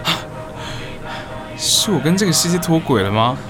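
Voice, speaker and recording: A man's voice: a little high, very animated, moderate pace, over music. A man acting a chatty young newcomer in an audio drama, muttering playfully to himself in Taiwanese Mandarin. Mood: playful, wry. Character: chatty, wry young man new to the neighborhood. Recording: clear noise behind the voice.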